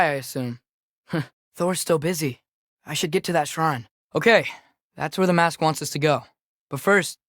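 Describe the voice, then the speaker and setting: A man's voice: a little high, dry recording, clean studio sound, close to an everyday voice. A young man playing an earnest, headstrong teenage boy adventurer in a video game, with a light youthful voice and a casual, hesitant way of talking. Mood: quiet, thoughtful. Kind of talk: video game character acting.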